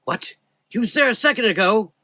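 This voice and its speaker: A man's voice: high-pitched, expressive, fairly quick, dry recording, pitched above the performer's own voice, full character voice. A man voicing a fanatical villain doctor in a gothic horror audio drama, sneering, taunting and volatile. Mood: agitated. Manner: taunting, volatile, shouting in anger.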